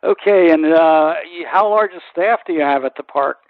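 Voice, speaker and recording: A man's voice: a little high, moderate pace, no echo. A man hosting an interview, asking conversational, inquisitive questions. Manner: inquisitive, with frequent brief acknowledgements. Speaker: radio interviewer, host. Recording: dry, narrow phone-like sound.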